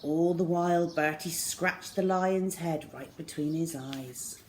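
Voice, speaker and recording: A woman's voice: sits low, takes it slowly, a little mellow, a little hiss or hum. A middle-aged woman reading a children's story aloud expressively, indoors in a room. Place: small plain room indoors.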